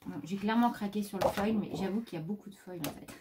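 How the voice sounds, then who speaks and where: A woman's voice: medium pitch, quick pace. Chatty woman showing her craft haul. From France. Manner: chatty, rambling, talks to her pet. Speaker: craft vlogger. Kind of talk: casual show-and-tell haul. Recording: faint background noise.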